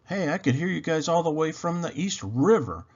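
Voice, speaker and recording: A man's voice: fairly high pitch, lively intonation, moderate pace, voice pushed up. Guy doing a grumpy little boy who doesn't buy it, mocking, bit dull lo-fi sound. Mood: puzzled. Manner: sullen, mocking. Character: sleepy, disappointed, skeptical boy, mocking Santa.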